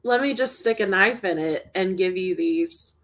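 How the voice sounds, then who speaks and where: A woman's voice: mid-range voice, measured pace. A woman chatting casually as a panelist in an online book discussion. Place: indoors on a livestream video call.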